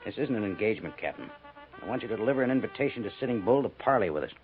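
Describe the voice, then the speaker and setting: An actor playing an earnest army major who gives orders in a 1950s radio western. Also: male voice, on the low side, expressive, very fast, faint music behind, close to an everyday voice. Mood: commanding. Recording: thin, phone-like, noisy 1950s radio recording.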